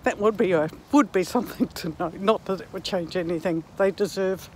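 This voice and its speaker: A woman in her sixties giving a reflective, halting monologue to herself and her viewers as she walks outdoors. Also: lowish voice, a little hiss or hum. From Netherlands and New Zealand. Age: 60s. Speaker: vlogger. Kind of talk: reflective walking vlog monologue. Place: outdoors, walking in a park.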